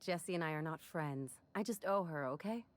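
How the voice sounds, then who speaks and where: A woman's voice: low-pitched, normal speed, lightly acted. A woman playing a stubborn, indignant doctor in a video game, assertive and sardonic. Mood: exasperated, sardonic.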